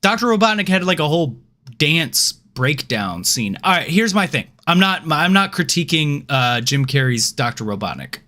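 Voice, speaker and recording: A man's voice: fairly high pitch, very animated, moderate pace, sharp tone. A man hosting a casual chat show about video game films, joking around in loose slang. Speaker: show host.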